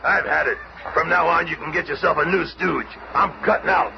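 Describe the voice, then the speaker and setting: An actor playing a gruff, hot-tempered superhero strongman with blustering, slangy tough-guy talk in a 1970s radio drama. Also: on the high side, fairly quick. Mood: fed up. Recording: muffled, very noisy old radio recording.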